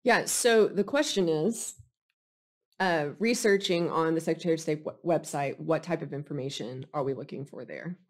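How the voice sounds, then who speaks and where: Low-pitched woman presenting a case story. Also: normal speed. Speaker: forensic investigator presenting a case study.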